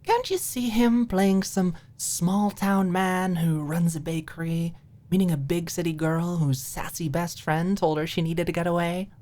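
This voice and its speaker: A woman voicing a playful, eager young woman in an audiobook romance, with an animated, teasing way of talking. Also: measured pace, natural voice. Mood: mock-earnest, playful. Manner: animated, teasing, presenting mock pitches. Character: Maude, giggly, heartbroken, eager young woman riffing playfully.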